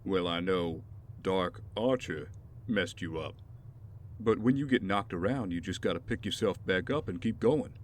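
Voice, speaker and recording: Deep voiced ex-soldier buddy, man acting, steady, encouraging, audio drama, some noise behind. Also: measured pace. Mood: firm encouragement. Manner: conversational, encouraging. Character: steady, supportive ex-soldier, male partner of a vigilante. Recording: background noise with ambience.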